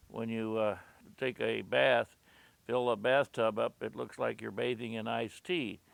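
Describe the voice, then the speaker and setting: A man's voice: mid-range voice, steady tone, a little slow, vocal fry, dry recording. A man talking in a conversational interview as a local resident, plain-spoken and folksy.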